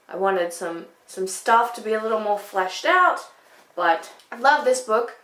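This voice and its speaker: A woman's voice: fairly high pitch, a bit bright. A woman book vlogger chatting casually to camera, emphatic, often repeating words to make her point. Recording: echoey room.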